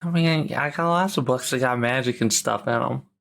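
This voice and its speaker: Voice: mid-range voice, normal speed, creaky, fairly bright tone, pitched below the performer's own voice. A player voicing a folksy, easygoing adventurer in a tabletop game, rambling and colloquial. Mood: doubtful, easygoing.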